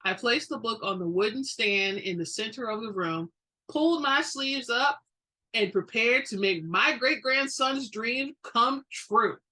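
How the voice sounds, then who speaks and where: A woman narrating a story in an expressive, theatrical way, as the reader and host. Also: on the high side, measured pace, low-quality recording. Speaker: book reader and channel host. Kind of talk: dramatic audiobook narration.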